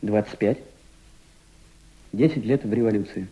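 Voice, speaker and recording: An actor playing an earnest, doubting Red Army veteran of twenty-five in a radio drama, speaking in a questioning, halting way. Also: male voice, lowish voice, dark tone, highs cut off, slight background noise, natural voice. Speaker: actor in an audio play. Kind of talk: Soviet-style radio drama acting.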